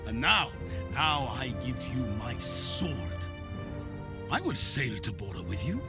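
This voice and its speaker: Swooping, creaky Viking uncle. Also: male voice, mid-range voice, very animated, takes it slowly, heavily acted. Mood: proud, solemn. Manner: weighty, declamatory. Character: proud older Viking uncle, stern warrior lord. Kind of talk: dramatic cinematic game acting. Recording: music behind the voice.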